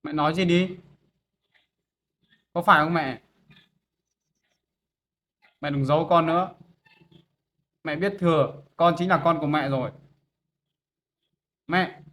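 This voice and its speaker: A man's voice: on the high side, steady tone, moderate pace, long pauses, a little mellow, natural voice. A man playing a pleading grown son. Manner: earnest, pleading. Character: grown son who finally finds his mother. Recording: echoey, dull sound.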